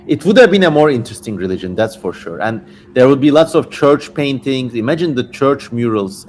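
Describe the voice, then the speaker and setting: A man with a mid-range voice hosts a livestream reading. He moves between theatrical emphasis and loose, slangy, irreverent commentary. There is clear noise behind his voice. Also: mid-range voice. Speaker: livestream host. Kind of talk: dramatic reading with casual tangents.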